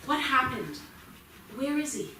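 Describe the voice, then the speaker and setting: Worried, pleading mother, played by a woman, unhurried higher voice, reverberant noisy stage recording. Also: big pitch swings, bright, forceful tone, exaggerated voice. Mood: anxious, pleading. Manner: hesitant, worried questioning. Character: anxious, pleading mother of a teenage boy. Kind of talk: staged play reading. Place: small theatre, staged reading. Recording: noisy, very echoey theatre from far off.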